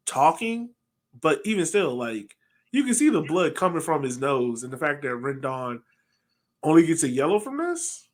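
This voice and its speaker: An American man in his thirties chatting casually about sports as a podcast host on a video call. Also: mid-range voice. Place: home room, indoors, on a video call.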